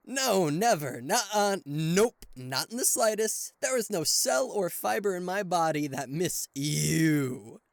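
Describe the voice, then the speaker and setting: Male actor as cocky devil king boyfriend, playful, affectionate, theatrical, slow unhurried delivery, very animated pitch, ASMR roleplay. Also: gravelly, dry recording, exaggerated voice. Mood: flustered denial. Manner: theatrical, playful, with teasing pauses and stammers. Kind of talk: ASMR roleplay addressed to the listener.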